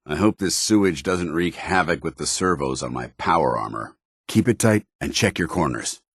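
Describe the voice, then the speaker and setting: A stern soldier of principle, with an extremely low voice that has a husky edge. He speaks formally and earnestly, like a commanding officer loyal to his order. It is video game companion dialogue, recorded dry and close. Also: expressive, moderate pace, no echo. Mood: watchful, businesslike.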